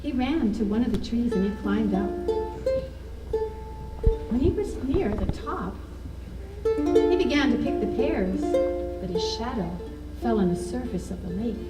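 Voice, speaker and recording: A woman telling a folktale to children at a live puppet show, with measured, dramatic phrasing. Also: fairly high pitch, lively intonation. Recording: echoey, distant mic, music over voice. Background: ukulele or guitar music, clearly heard.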